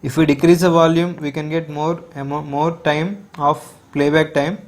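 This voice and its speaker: An Indian man presents a product review in a fairly high male voice. His intonation stays flat and unvarying. He explains things step by step, methodically and with repeated phrasing. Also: normal speed. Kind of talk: unboxing and product walkthrough narration.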